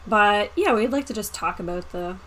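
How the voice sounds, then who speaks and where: A woman's voice: medium pitch, animated, moderate pace, fairly bright tone. A woman co-hosting a roleplaying stream in casual chat, with an informal, blunt, joking manner. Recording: clearly noisy livestream audio.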